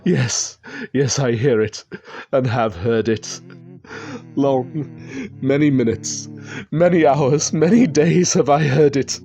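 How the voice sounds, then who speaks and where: An actor playing a terrified, guilt-ridden gentleman in a radio drama, speaking in feverish, theatrical, archaic phrases. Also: mid-range voice, takes it slowly, gravelly, long pauses. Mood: anguished. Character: terrified, guilt-ridden, nervously sick gentleman haunted by his sister. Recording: dramatic music behind the voice.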